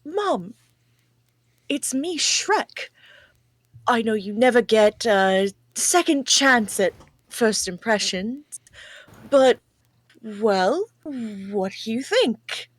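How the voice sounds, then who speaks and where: A woman reading a smarmy young prince who passes himself off as someone else, in slow and lively fake-earnest tones at a live table read. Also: fairly high pitch, dry recording, full character voice. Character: Prince Charming posing as Shrek, smarmy young man.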